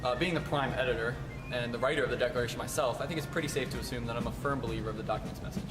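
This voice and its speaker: A man's voice: medium pitch, racing, over music, natural voice. A man playing an earnest, resolute colonial statesman, giving formally worded, scripted interview answers. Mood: matter-of-fact. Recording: noisy amateur outdoor recording, traffic.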